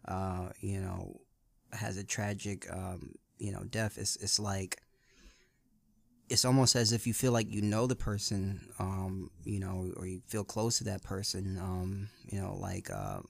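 A man co-hosting a podcast, speaking casually and reflectively in halting, measured short phrases. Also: deep voice, little pitch movement, creaky, no room sound. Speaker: podcast co-host.

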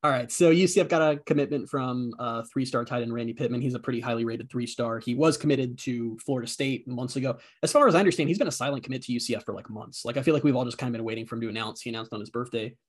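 A man in his twenties co-hosting a sports podcast, chatting casually in an animated, self-deprecating way. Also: medium pitch, very fast, hardly any pauses, breathy edge. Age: 20s. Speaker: podcast co-host.

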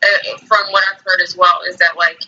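A man's voice: sits high, flat delivery, bright, forceful tone. A man in his late twenties, a podcast co-host who chats casually and halts and trails off mid-thought. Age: late 20s. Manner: halting, trailing off. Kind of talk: casual chat. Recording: distant, dull mic with faint background noise.

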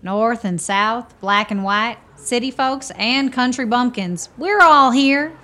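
Folksy small-town camp woman, played by an actress, high-pitched, good-humored, plain-spoken, for radio drama. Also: slow, bright, forceful tone. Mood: wry. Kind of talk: radio play acting.

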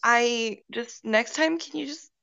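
A woman in her late twenties joining as co-host, playful and quick to get defensive, swearing a lot. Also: a little high, gravelly, a bit bright, highs cut off. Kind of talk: casual chat.

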